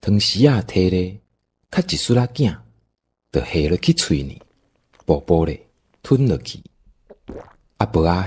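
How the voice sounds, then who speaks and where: A man's voice: sits low, darkish tone, slightly rough. A man with a Taiwanese accent narrating an audio drama in a folksy, dramatic storytelling style.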